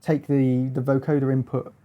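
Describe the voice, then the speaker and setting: A man giving a casual hands-on demonstration as a gear reviewer, explaining in a halting, hesitant way. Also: mid-range voice, flat delivery, dull audio.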